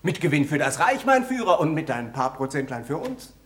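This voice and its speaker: A man performing a literary monologue as an obsequious, scheming Swiss envoy, formal, deliberate and ingratiating. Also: fairly high pitch, big pitch swings, fairly bright tone, echoey room. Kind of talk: literary monologue, measured narration.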